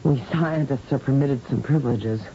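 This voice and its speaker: A woman's voice: moderate pace, dark tone. An actress playing an anxious, thoughtful female scientist in a radio drama, speaking in a worried, tentative way. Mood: concerned. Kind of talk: 1970s-style radio drama acting. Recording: muffled, noisy old radio broadcast with rumble.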